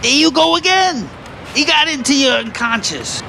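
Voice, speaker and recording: An actor as a jaded New working man with a gravelly, animated voice that swings around as he needles and cracks wise. It is audio drama set on a noisy street with traffic. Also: very high voice, moderate pace, full character voice. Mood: wry, needling. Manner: sardonic, needling. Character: blunt, wisecracking, jaded male construction worker. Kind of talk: naturalistic audio play dialogue. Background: urban street ambience, vehicles.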